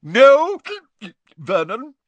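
A man putting on a shrill, boozy bullying aunt. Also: big pitch swings, extremely slow, dry recording, dull audio, heavily acted. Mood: scornful. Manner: boozy, sneering, domineering. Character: loud drunken bullying middle-aged aunt.

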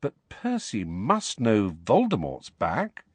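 A man's voice: fairly high pitch, wildly swooping pitch, moderate pace, breathy edge, no room sound, voice pushed up, heavily acted. A man reading an audiobook, voicing a teenage boy who sounds insistent, defensive and heated. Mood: indignant. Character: indignant teenage wizard boy. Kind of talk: audiobook character voice.

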